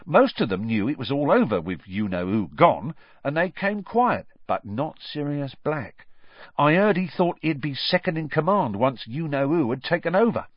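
A man voicing a chatty, excitable young cockney bus conductor, gossipy and dramatic, for a storybook. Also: a little high, moderate pace, no room sound, dull audio, voice pushed up. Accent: London working-class. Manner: gossipy, dramatic, colloquial, tag questions.

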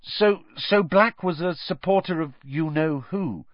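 An earnest teenage boy, voiced by a man reading a storybook, pitched up from the narration. He is hesitant, curious and apologetic, with a questioning manner. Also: big pitch swings, takes it slowly, no echo, dull audio, exaggerated voice.

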